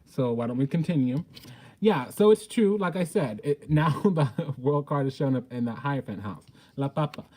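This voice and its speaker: A man with a soft-edged, mellow voice at an average pitch hosts an informal tarot reading. He talks bluntly and sassily, swearing freely. His delivery is emphatic and theatrical. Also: animated, moderate pace. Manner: blunt, sassy, profane. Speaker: tarot reader, host.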